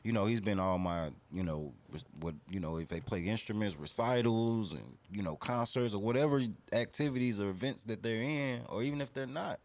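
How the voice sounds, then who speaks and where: A man's voice: fairly low pitch, fairly quick, hardly any pauses, a little mellow. A man in his thirties talking casually and earnestly as a podcast guest, opinionated and slangy. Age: 30s.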